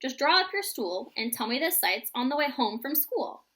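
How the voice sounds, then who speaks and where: A woman reads as a skeptical father from a rhyming children's book. The delivery is stern, measured and admonishing, in a bright, fairly high voice. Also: big pitch swings, exaggerated voice. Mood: calm, questioning. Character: stern, skeptical father questioning his son.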